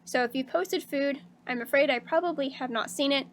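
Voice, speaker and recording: A woman streaming casually, chatting with viewers and laughing often, with a slightly hoarse voice. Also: high-pitched, brisk, slight background noise. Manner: bubbly and chatty, laughs often, many fillers like "um" and "like". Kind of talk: casual stream chat reading out viewer messages.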